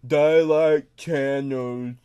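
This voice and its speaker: A man playing a childlike, confused, easily upset grown man on a prank call, halting and repeating words insistently. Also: medium pitch, fairly even intonation, warm tone, clean tone, highs cut off. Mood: timid, plain.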